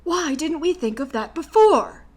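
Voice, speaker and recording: A grumbling scarecrow man made of straw, as a woman reading aloud puts him on. The voice is pitched high and sounds a touch removed from the mic. A storybook figure from a single reader. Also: animated, a little slow, darkish tone. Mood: eager.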